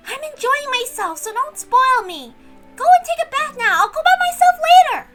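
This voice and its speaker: A woman voicing an excitable, playful young servant with a girlish manner in a visual novel dub, over music. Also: extremely high pitch, normal speed, pitched above the performer's own voice. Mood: excited.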